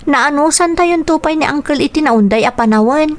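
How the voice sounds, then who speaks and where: A woman playing a patient, caring elder sister in an Ilocano radio drama, speaking gently and earnestly. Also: high voice, normal speed, close to an everyday voice. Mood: earnest, hopeful. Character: patient, caring elder sister minding her younger brother. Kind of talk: Ilocano radio drama acting with narrated inner thoughts.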